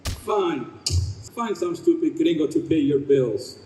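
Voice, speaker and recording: An actor playing a swaggering, menacing Colombian drug dealer in a live radio play. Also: male voice, fairly high pitch, lively intonation, slow, recorded from a distance, noisy background. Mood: angry. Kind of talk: live old-time radio play acting. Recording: echoey stage, off mic.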